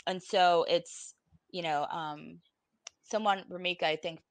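A woman in her forties from Puerto Rico talks in a lowish, close and dry voice. She is informal, salty and blunt, with a weary edge, as a guest speaking personally on a panel. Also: steady tone, a little slow, no echo. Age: 40s. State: weary, emotionally exhausted. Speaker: podcast guest. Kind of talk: candid personal panel talk. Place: room at home, on a video call.

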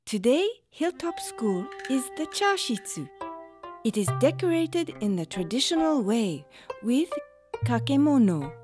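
Slow-spoken, gentle cartoon mother. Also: on the high side, sing-song, over music. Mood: calm, instructive. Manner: measured, explanatory, softly formal. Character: gentle, patient mother hosting a tea ceremony. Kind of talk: children's cartoon voice acting.

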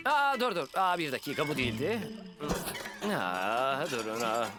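A man's voice: medium pitch, huge pitch swings, measured pace. A man voicing a bumbling but dutiful delivery vehicle in a children's cartoon, theatrical and flustered, muttering to himself. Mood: flustered, searching. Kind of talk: animated children's cartoon dub. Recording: loud cartoon music behind.

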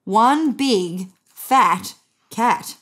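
Animated woman reading a storybook. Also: on the high side, drawn-out words, husky. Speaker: storybook reader. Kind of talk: expressive read-aloud children's story narration. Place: indoors on a bed.

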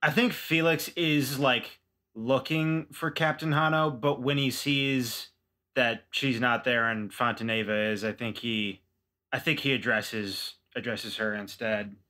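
A man takes part in a tabletop roleplaying game as a player. His voice is bright and medium in pitch. He describes his character in a casual, improvised way. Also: steady tone, measured pace.

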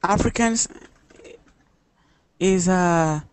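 A man's voice: sits high, very slow, smooth, no room sound. Brazilian man giving short, hesitant answers. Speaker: student. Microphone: video call.

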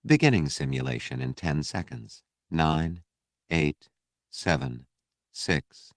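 A man's voice: rumbling low, very animated, slow, dry recording, studio quality, exaggerated voice. A man voicing an impersonal flight simulator computer in an audiobook, announcing figures precisely.

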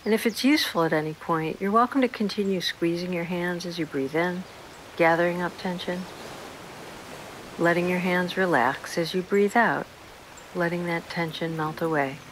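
A woman leading a guided meditation in a slow, soothing, gentle manner with unhurried pauses. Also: fairly low pitch, background noise.

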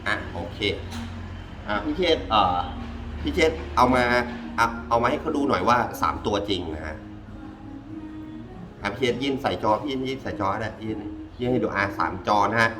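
A man's voice: mid-range voice, lively intonation, normal speed, over music. A man giving a casual hands-on gadget review, informal and repeating himself as he demonstrates step by step. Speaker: product reviewer. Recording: clearly noisy ambient background.

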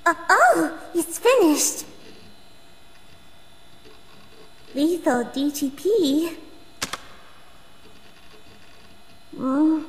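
Timid cartoon lizard scientist, very high pitch, swooping, nervous, reverberant. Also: female voice, expressive, takes it slowly, a little hiss or hum. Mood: anxious. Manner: timid, stammering, hesitant. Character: small, nervous female reptile scientist, easily panicked. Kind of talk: animated comic dub acting. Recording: long reverb, music behind.

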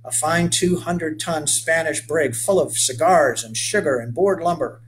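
A man's voice: a little high, a little slow, a little hiss or hum, voice pushed up, close to an everyday voice, poor audio. A man voicing a weathered old frontier boatman who speaks in folksy, old-fashioned phrases. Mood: urgent, persuasive. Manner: folksy old-fashioned speech, calls the boy lad and son. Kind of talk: voiced character in a read-aloud story.

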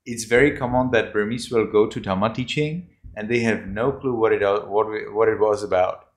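A man's voice: lowish voice, moderate pace. A man teaching children over a video call, talking. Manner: rambling, repeats himself, uses "you know". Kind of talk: casual online class talk. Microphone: laptop mic on video call. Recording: echoey video call audio.

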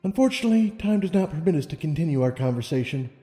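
A man's voice: fairly high pitch, expressive, fast, warm tone, voice pushed up, natural voice. A man voicing a wise, serene female pony ruler in a storybook reading, with solemn, formal speech. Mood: gracious. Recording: long reverb, music underneath.

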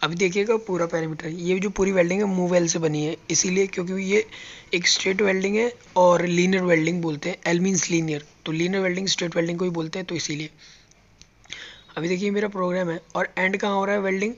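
A man's voice: sits high, steady tone, quick pace, lo-fi sound. A man teaching robot welding step by step, explaining each button as he presses it on a factory floor. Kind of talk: hands-on tutorial.

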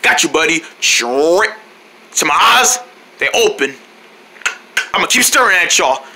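A man's voice: fairly high pitch, expressive, a little slow, background noise, pitched below the performer's own voice. A man voicing a sly, watchful cartoon character in a homemade skit, plotting revenge as he mutters to himself. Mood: smug.

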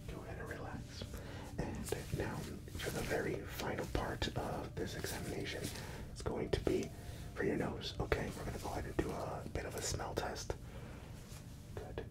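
A young man whispers softly and gently in an ASMR medical roleplay, playing a reassuring doctor who gives instructions close to the microphone. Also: normal speed, low-quality recording. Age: young adult. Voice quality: soft, breathy whisper. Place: small room indoors, set up as an exam office.

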